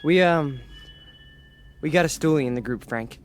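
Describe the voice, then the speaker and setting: An actor playing an intense, callous young fascist leader in a radio drama, forceful and commanding. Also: male voice, fairly high pitch, moderate pace, soft background music, lightly acted. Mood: uneasy, conspiring.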